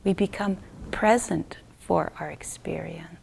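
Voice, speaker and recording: A woman guides a meditation in a slightly low voice, thick with creak. She speaks very slowly and gently, in short phrases with long pauses. The ends of her phrases soften toward a breathy near-whisper. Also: slight background noise. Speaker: meditation teacher.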